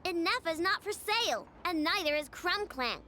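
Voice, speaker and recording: A woman's voice: very high-pitched, animated, normal speed, bright, forceful tone, slight background noise, heavily acted. A woman voicing a feisty, hot-tempered young girl inventor in a video game, firing off indignant outbursts. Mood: defiant. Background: game music.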